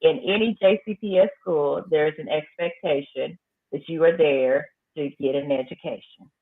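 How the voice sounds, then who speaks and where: A woman high school principal, talking firmly and emphatically in a casual interview. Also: normal speed. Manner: emphatic, firm, repeats phrases for effect. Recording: tinny phone-like line.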